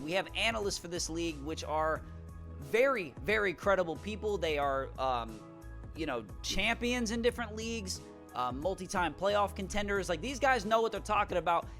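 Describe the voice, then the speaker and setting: Young male streamer, high-pitched, hyped and rambling, voice worn thin, small home room. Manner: hyped, shout-out heavy, repeats words when excited. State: losing his voice. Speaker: YouTuber and draft league coach. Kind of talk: energetic casual vlog talk.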